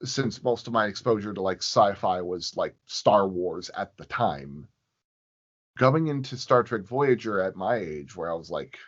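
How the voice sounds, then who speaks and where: A man with a fairly low voice talks very slowly in a casual interview. He pauses and thinks aloud as he goes, and the recording is dull and lo-fi. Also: expressive. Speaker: podcast guest, sound designer.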